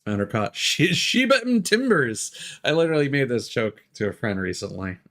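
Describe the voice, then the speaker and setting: A man's voice: mid-range voice, sing-song, moderate pace, fairly bright tone. A man streaming a video game with casual live commentary, musing aloud as he goes. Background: music behind the voice.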